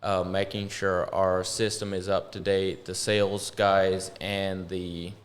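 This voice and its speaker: A man's voice: sits low, flat delivery, takes it slowly. A man from Arkansas, explaining things conversationally as a business-owner guest in an interview. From Arkansas, USA.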